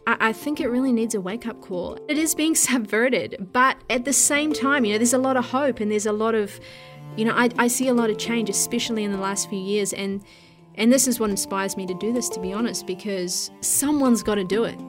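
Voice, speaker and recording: A woman's voice: fairly high pitch, brisk, music in the background. A woman in her thirties from New Zealand with an Australian-tinged accent, a news host talking earnestly and passionately in a casual interview, with fillers like "you know" and "look". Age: 30s.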